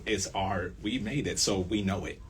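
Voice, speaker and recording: Deep-voiced man in informal interview chat. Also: normal speed. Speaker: radio play creator being interviewed. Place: student radio station. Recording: faint background noise.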